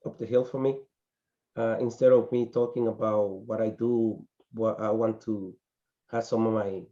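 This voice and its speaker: Slow, halting man. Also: mid-range voice, mellow, highs cut off. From Dominican Republic. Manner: halting, frequent restarts and pauses. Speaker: business owner presenting his company. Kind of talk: networking group self-introduction. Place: small indoor office in front of a company banner. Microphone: video call webcam.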